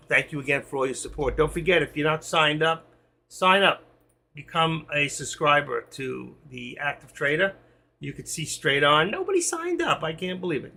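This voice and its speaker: Gravelly man calling a live market. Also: a little high. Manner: talks to chat while watching charts, quiet asides between sign-offs. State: losing his voice. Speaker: trading live stream host. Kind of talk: live market commentary to viewers.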